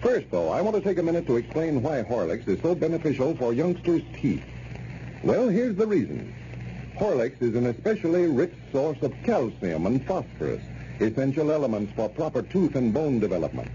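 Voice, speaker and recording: A man's voice: mid-range voice, huge pitch swings, moderate pace, mellow. An American man announcing on old-time radio, reading a script in a folksy, persuasive way. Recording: muffled, noisy old radio recording.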